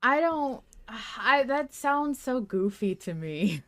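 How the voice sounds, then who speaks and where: A woman's voice: a little high, lively intonation, takes it slowly. A woman hosting a fan discussion podcast in a casual, conversational style. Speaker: podcast host.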